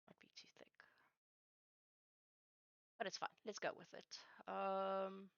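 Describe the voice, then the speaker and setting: Creaky-voiced woman, native Afrikaans speaker. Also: mid-range voice, little pitch movement, a bit bright, no echo, dull audio. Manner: halting, searches for words. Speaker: streamer building game maps live. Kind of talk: casual chat while working.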